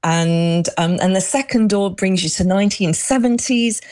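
A woman's voice: medium pitch, normal speed, darkish tone. Chatty middle-aged woman. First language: Urdu. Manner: chatty, with many fillers and restarts. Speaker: guest, exhibition creator. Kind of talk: radio interview.

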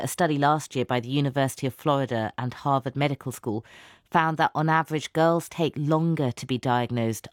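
Low-voiced woman interviewer, dry sound. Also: darkish tone, dry recording. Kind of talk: prepared interview questions and commentary.

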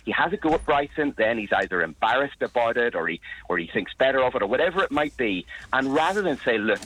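A man, a journalist interviewed on radio, arguing his point forcefully and with indignation. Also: medium pitch, very animated, quick pace, low-quality recording. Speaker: journalist guest.

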